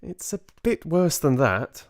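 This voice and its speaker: A man's voice: fairly high pitch, big pitch swings, takes it slowly, voice pushed up. A man voicing a polite but firm elderly grandmother in a storybook, speaking delicately and insistently. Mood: careful, gentle.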